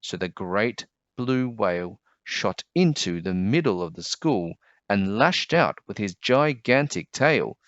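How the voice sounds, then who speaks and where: Animated man reading a children's story. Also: fairly low pitch, slow pace, hardly any pauses, no room sound, muffled recording. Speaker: children's book narrator. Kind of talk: expressive scripted storybook narration.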